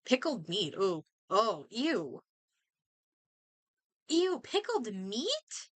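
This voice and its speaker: A woman streamer chatting casually and thinking aloud in an expressive way as she comments on a game. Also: on the high side, drawn-out words, no room sound.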